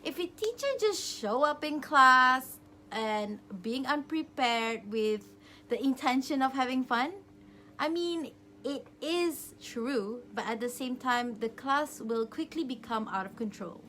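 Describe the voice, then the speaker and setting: A woman's voice: high-pitched, animated, slow, sharp tone, a little hiss or hum. A young adult woman giving an instructional student presentation, explaining things step by step from loosely followed notes. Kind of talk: semi-scripted instructional presentation.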